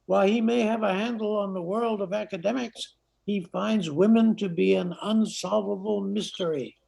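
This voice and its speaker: A man's voice: high voice, steady tone, normal speed, gravelly, a little mellow. An older man reading scripted narration for an amateur radio play. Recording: noisy amateur recording.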